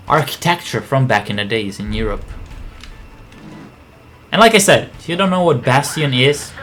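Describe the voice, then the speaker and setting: A man's voice: mid-range voice, a bit bright. A man giving casual, rambling, explanatory gameplay commentary on a video game. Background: game music and aircraft sounds.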